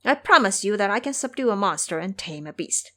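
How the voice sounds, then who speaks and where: A woman's voice: medium pitch, expressive, fairly quick, pushed and bright. Lady doing a cocky little monkey king for audio drama, teasing and theatrical, voice jumps all over the place. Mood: boastful. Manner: boastful, preachy, theatrical. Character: cocky, teasing male monkey king, small but sturdy.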